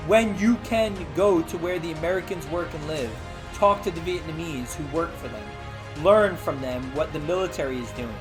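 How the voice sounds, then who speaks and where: A man's voice: on the high side, normal speed, over music. A man hosting a casual read-aloud of an interactive storybook, with informal, chatty asides to his listeners. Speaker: host reading aloud.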